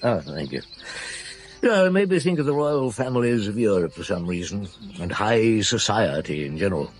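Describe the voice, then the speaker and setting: An actor playing a seasoned, wry Paris chief inspector in a radio drama, musing and understated. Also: male voice, on the low side, sing-song, moderate pace. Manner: musing, understated, with hums and short replies. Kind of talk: naturalistic radio drama acting.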